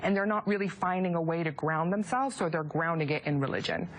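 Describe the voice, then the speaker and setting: Fast-talking woman policy expert. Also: fairly low pitch. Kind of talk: broadcast interview answer.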